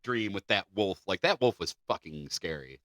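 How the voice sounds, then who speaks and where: A man's voice: low voice, lively intonation, moderate pace, a bit bright, dry recording, clean studio sound. A man co-hosting a podcast, moving between reading scripted narration and informal chat. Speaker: podcast co-host.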